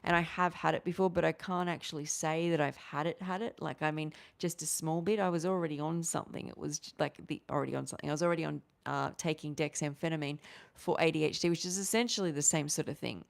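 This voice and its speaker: A woman chats on a livestream, answering viewers. Her voice sits on the low side. She rambles and restarts her sentences, and she talks with her hands. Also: fast talker, gravelly. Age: 40s. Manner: rambling, animated, restarts often, gestures with hands. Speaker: livestream host.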